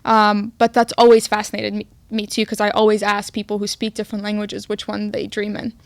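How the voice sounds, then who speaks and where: A young woman from Egypt, with Arabic as her first language, co-hosting a casual chat podcast. She talks in a relaxed way, with fillers and long pauses while she thinks. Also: mid-range voice, vocal fry, no echo. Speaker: podcast co-host.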